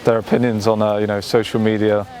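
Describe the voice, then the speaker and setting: A man's voice: on the low side, level tone, fast talker, a little mellow, a little hiss or hum. A man casually interviewing people on a busy city street, asking easygoing questions full of fillers. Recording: busy street crowd behind.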